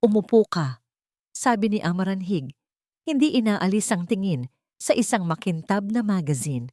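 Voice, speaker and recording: A woman's voice: mid-range voice, animated, normal speed, darkish tone, no echo, studio quality. A woman reading a scripted story as a dramatic narrator.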